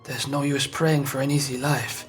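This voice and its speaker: An actor playing a calm, principled police inspector in an audio drama, composed, terse and earnest. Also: male voice, medium pitch, moderate pace, soft background music, natural voice. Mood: gentle, earnest.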